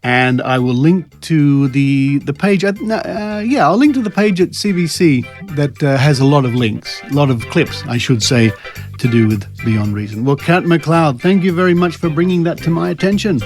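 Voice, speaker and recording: A man's voice: on the high side, moderate pace, soft background music. An Australian man hosting a podcast in a casual, wryly amused, conversational way. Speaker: podcast host. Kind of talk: casual chat about psychics and scepticism.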